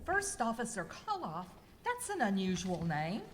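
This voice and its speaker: A woman's voice: mid-range voice, wildly swooping pitch, unhurried. A woman playing an exasperated, snippy castaway in an amateur radio comedy skit. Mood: eager. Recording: echoey hall with faint noise.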